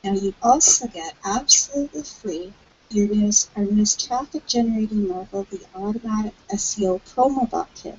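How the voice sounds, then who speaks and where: A woman from Alberta co-hosting a live webcast, reading a sales offer aloud from the screen in a brisk manner. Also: mid-range voice, muffled recording, a little hiss or hum. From Alberta, Canada. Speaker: webcast co-host.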